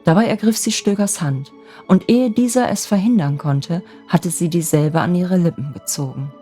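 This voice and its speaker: A woman with a gentle voice narrating a scripted bedtime fairy tale as a storyteller. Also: lowish voice, moderate pace. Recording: music bed under the voice.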